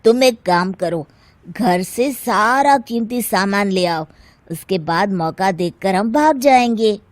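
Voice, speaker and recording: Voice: mid-range voice, expressive, slow pace, slight background noise. An actor playing a sly young male lover in a Hindi moral story drama. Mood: conspiring. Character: sly male lover plotting theft and elopement.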